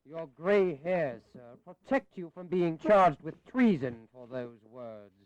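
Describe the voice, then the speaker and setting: An actor playing a stiff, hostile British politician in a historical audio play, cold and formal. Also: male voice, on the high side, expressive, takes it slowly, a little mellow. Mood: menacing. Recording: slightly dull 1980s audio recording.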